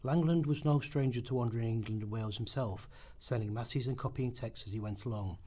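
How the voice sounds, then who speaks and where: Slightly husky man reading scripted narration. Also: medium pitch, fairly quick, dark tone. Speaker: narrator in a radio play rehearsal. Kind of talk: scripted documentary-drama narration, read aloud.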